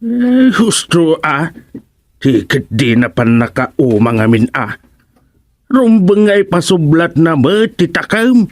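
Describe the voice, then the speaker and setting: A man's voice: a little high, sing-song, slow pace, no room sound. A man with an Ilocano accent acting a stubborn, ambitious old villager in a radio comedy-drama.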